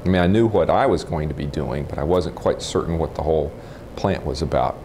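An American man in his forties speaks in a very deep bass register. He answers as an interviewee, matter-of-fact and a little halting. A lapel mic picks him up indoors with faint noise behind. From Texas, United States. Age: 40s. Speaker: interviewee, nuclear physicist. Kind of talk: informal oral history interview, recollecting. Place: room in a house, indoors.

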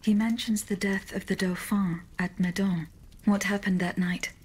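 An actress playing a loyal, reserved servant of a queen in a video game, speaking in a formal, measured, terse way. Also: mid-range voice, steady tone, darkish tone, breathy edge, lightly acted. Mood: serious, probing. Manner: measured, terse, solemn questioning. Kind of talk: dramatic video game dialogue.

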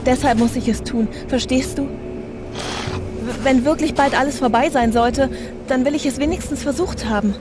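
Woman doing young space pilot lady, determined and dry, audio drama, bit higher pitched. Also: normal speed, over music, close to an everyday voice. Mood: earnest resolve. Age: 20s. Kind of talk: science-fiction audio drama acting.

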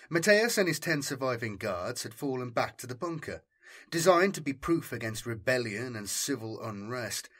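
A man's voice: medium pitch, a bit bright, husky. A man reading military science fiction as an audiobook narrator, in a scripted, dramatic storytelling style.

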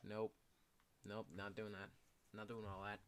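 A young man giving casual, excitable commentary while playing a video game, swearing often and yelling when chased. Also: lowish voice, unhurried, a little hiss or hum. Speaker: gameplay commentator. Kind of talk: casual live gameplay commentary.

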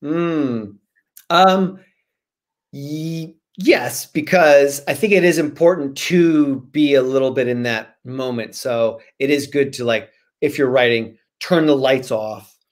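Man, forties, medium pitch, casual author interview, animated, emphatic repetition, home video call. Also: slow. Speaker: guest author. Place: small room at home, indoors. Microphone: video call, computer microphone.

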